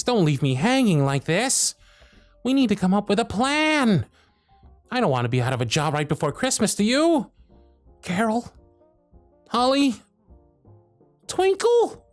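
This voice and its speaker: A man's voice: high-pitched, no echo, heavily acted. A man voicing a harried, grumpy male elf supervisor in an audio roleplay, sarcastic and scolding. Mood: pleading. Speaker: workshop supervisor.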